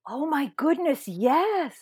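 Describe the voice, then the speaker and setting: A woman's voice: high-pitched, sing-song, slow, darkish tone, no room sound, studio quality. A woman hosting a podcast, introducing her guest and talking about theater in an enthusiastic, welcoming way. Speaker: podcast host. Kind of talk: conversational podcast interview.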